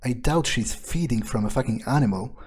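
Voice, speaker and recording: A man playing a cynical, foul-mouthed vampire in an improvised game roleplay, husky and halting, muttering scornfully. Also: mid-range voice, darkish tone, natural voice. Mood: musing, scornful. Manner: halting, muttering, profane, sardonic.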